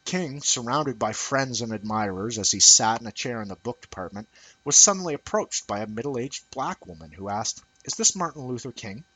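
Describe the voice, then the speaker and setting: A man's voice: medium pitch, animated, normal speed, bright, forceful tone, breathy edge, poor audio. A man reading a scripted essay aloud as narration. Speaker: amateur reader of an essay.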